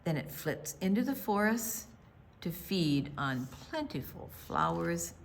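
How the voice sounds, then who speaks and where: An American woman reading a picture book aloud for young children, with dramatic pauses, hushed asides and emphatic delivery. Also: on the low side, very animated, gravelly, a little hiss or hum. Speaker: children's storytime reader at a library.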